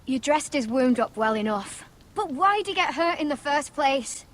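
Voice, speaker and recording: A woman playing a young, blunt and suspicious wildling in a fantasy video game, sharp-tongued, commanding and protective. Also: high-pitched, lively intonation, normal speed. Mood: scornful, challenging. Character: blunt, suspicious, protective free-folk sister. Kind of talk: dramatic video game acting.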